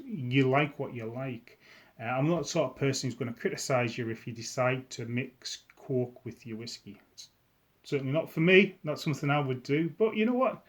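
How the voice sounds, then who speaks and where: An easygoing middle-aged man with a Scottish accent talks casually straight to camera. His voice sits at an ordinary pitch, and he rambles amiably, restarting phrases as he goes. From Scotland. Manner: chatty and easygoing, with frequent restarts. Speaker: whisky vlogger.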